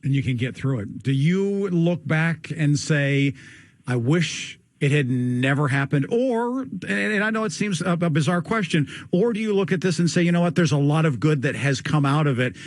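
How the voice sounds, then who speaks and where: A male radio host with a somewhat high voice interviews a guest in the studio. He keeps it conversational, prompting with follow-up questions. The studio sound is dry and close. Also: animated, normal speed, dry recording.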